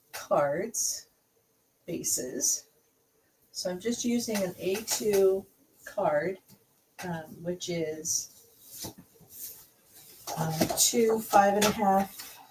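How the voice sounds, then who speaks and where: A woman hosting a craft tutorial, recovering from a cold, friendly and chatty with coughs and throat-clearing. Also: mid-range voice, noisy background. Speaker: card-making channel host.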